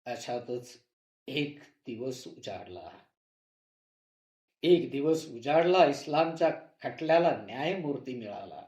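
A man's voice: mid-range voice, lively intonation, takes it slowly, darkish tone. A man playing an anguished narrator who cries out for justice, declaiming a theatrical monologue with emphatic repetition. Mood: sorrowful storytelling. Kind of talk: theatrical monologue in a play reading. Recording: echoey room recording.

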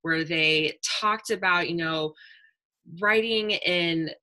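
A young woman talks on a video call panel with an earnest, reflective manner, her voice a touch low but crisp and bright. She fills her thoughts with "like" and "um" as she goes. The sound comes through a simple webcam mic. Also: slow pace, rough recording. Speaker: panel speaker, writer. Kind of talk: casual panel talk. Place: indoor room with a virtual backdrop.

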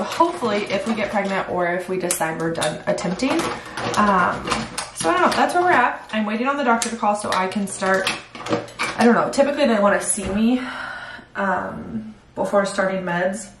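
A woman's voice: mid-range voice, moderate pace, noisy background. A young woman talking candidly to the camera in a casual home vlog, chatty and full of fillers. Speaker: vlogger. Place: home bathroom, indoors. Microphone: far camera. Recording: roomy echo from far off.

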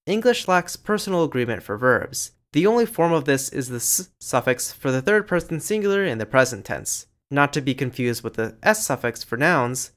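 A man giving scripted educational narration over slides, in a lively, explanatory way. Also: medium pitch. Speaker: language channel narrator.

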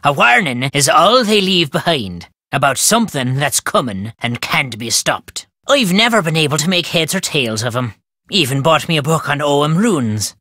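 An actor playing a gruff, superstitious old Irish countryman in a video game, wry and stubborn, with folksy phrasing and a storytelling lilt. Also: fairly high pitch, big pitch swings, moderate pace, sharp tone, dry recording, studio quality, full character voice. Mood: ominous. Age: older. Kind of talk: video game character dialogue.

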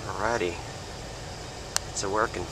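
A man's voice: low-pitched, steady tone, a little slow, rough recording. A man working as an HVAC technician, narrating casually in short muttered remarks as he films equipment around a house. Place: house with an attic and a backyard. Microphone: handheld camera mic. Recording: loud machine noise behind the voice.

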